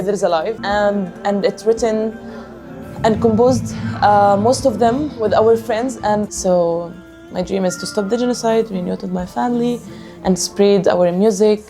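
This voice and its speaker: A woman from Gaza with a Palestinian Arabic accent, a singer answering interview questions in earnest, halting English, often repeating words as she restarts. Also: medium pitch. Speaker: singer of a music band.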